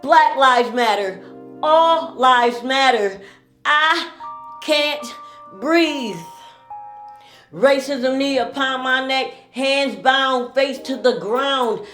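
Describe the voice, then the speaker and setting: A woman performing spoken word poetry with a slow, pleading, mournful delivery. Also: sits high, fairly bright tone, echoey room, faint music behind.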